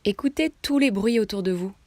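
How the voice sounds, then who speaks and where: A woman guiding a relaxation meditation, giving instructions in short phrases with pauses between them. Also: mid-range voice, a little mellow, no room sound. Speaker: yoga nidra meditation guide.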